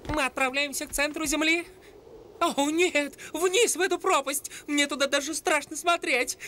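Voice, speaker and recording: A woman voicing a small, excitable mouse boy sidekick in a dubbed cartoon, high and eager. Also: normal speed, long reverb tail, a little hiss or hum. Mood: frightened.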